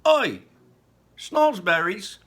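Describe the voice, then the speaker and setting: A man voicing a rude, brash young boy who speaks bluntly and loudly in a storybook reading. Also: high-pitched, big pitch swings, very slow, voice pushed up, exaggerated voice. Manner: blunt, loud, clipped. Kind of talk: storybook read-aloud.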